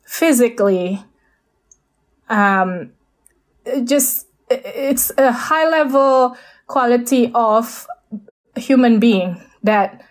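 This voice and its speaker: A woman's voice: fairly high pitch, slow, long pauses. A woman with an Indonesian accent, a guest speaking over a video call, talking hesitantly with many fillers and restarts. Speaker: guest, space architect.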